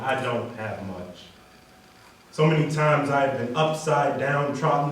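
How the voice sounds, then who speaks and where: Far-off man reciting in an echoey hall. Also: fairly high pitch. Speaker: wedding reader. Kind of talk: dramatic spoken-word recitation, reader's theater. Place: large echoey room at a wedding. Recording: echoey hall, distant mic, faint noise.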